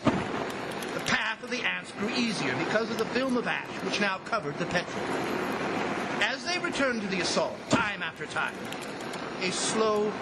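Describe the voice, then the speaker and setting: An actor playing an anxious district commissioner who narrates a jungle disaster in grave, urgent old-time radio style. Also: male voice, sits high, heavy background noise.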